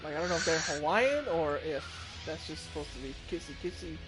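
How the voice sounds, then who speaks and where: A man hosting a gaming stream, chatty and quick to laugh as he talks with friends between matches. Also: a little high, lively intonation, music in the background, poor audio. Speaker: streamer host. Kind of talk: casual gaming stream chat.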